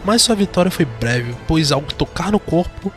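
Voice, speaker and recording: A man's voice: on the high side, animated, normal speed. A man with a Brazilian accent giving dramatic scripted narration for a horror fantasy audio drama. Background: music.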